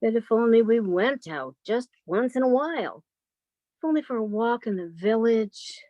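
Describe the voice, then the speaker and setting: A woman's voice: a little high, lively intonation, normal speed, warm tone, no echo, lo-fi sound, close to an everyday voice. A woman playing a timid, yielding younger spinster sister in a radio drama, hesitant and apologetic. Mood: timid pleading. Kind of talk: old time radio drama acting.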